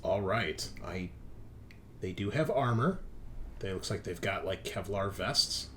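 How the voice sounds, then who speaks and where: A man's voice: low-pitched, animated, unhurried, fairly bright tone, slight background noise. A man narrating casually as game master, improvising descriptions with informal asides. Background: background music.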